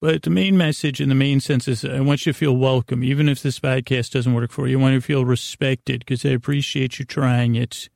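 Male bedtime podcast host, creaky gravel voice, goofy halting rambles, close dry sound. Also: mid-range voice, fairly even intonation, a little mellow, dry recording. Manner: meandering, halting, goofy, self-deprecating.

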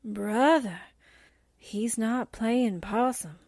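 A woman's voice: fairly high pitch, extremely slow, darkish tone, no room sound, exaggerated voice. A woman playing a wisecracking, sardonic newspaperwoman, full of slangy quips, in 1940s radio drama style. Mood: startled. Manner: sassy, slangy exclamations and quips.

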